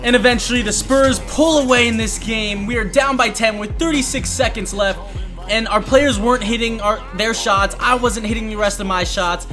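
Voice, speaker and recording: A man's voice: high voice, steady tone, moderate pace, pushed and bright, faint music behind. A man giving self-hyping play-by-play commentary on his own basketball video game, narrating each play in the present tense. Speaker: video gamer and channel host.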